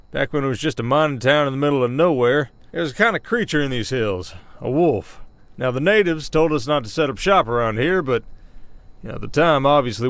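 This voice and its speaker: A man's voice: mid-range voice, no room sound, lightly acted. A man playing a folksy, hesitant werewolf sheriff with a Southern Old West drawl in an ASMR roleplay. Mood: grave storytelling. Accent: American Southern, Old West drawl. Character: folksy werewolf sheriff of an Old West town, long-lived but young-faced. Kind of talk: roleplay audio acting, ASMR. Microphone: close studio mic, dry.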